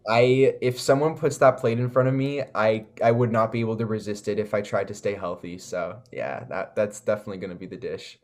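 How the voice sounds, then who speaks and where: Male music artist, Canadian accent, lowish pitch, casual and enthusiastic interview delivery with loose, searching phrasing. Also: fairly even intonation, brisk, few pauses, darkish tone. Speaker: recording artist, interview guest.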